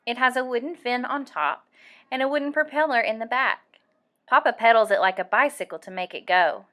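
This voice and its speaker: A woman reading a picture book aloud for young children, animated and deliberate, acting out the sound effects. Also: on the high side. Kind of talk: expressive read-aloud storytelling for young children.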